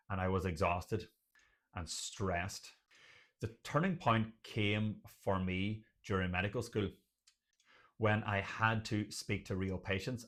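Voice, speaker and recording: A man's voice: low voice, fairly bright tone. An Irish man presenting motivational advice to camera, speaking emphatically and building points through repeated lists. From Ireland. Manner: emphatic, repetitive listing. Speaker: video host, doctor and stuttering advocate.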